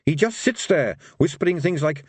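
Man voicing an earnest, worried-minded officer, raised pitch. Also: lively intonation, normal speed, husky, no echo. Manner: sincere, well-meaning. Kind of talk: audiobook character voice.

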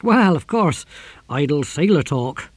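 A man acts out a bluff ship's captain for a fantasy audiobook. The voice is raised and high for a man. He is eager, talkative and anxious to please his betters. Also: lively intonation, measured pace, slightly rough, dry recording. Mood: nervously chatty.

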